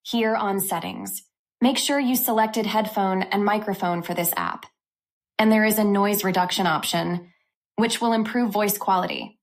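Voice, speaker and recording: Woman narrating a software review. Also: mid-range voice, normal speed. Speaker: tech review channel host.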